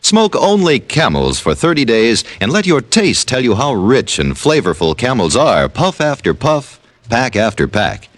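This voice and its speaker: A man's voice: mid-range voice, wildly swooping pitch, unhurried. A man with an American accent delivering an emphatic, polished 1950s radio commercial as an announcer. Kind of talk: 1950s radio advertising pitch.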